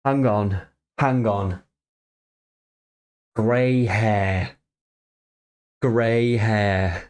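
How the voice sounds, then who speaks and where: Slow, musing low-voiced man. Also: fairly even intonation, a little mellow. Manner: musing aloud, hesitant, many fillers. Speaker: reaction video host. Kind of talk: casual reaction commentary.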